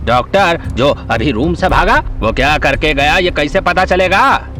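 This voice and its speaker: A man's voice: a little high, animated, measured pace, sharp tone, faint music behind. A man acting a brash, bullying police inspector in an audio drama, commanding and sarcastic. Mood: questioning. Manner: mocking, gruffly commanding.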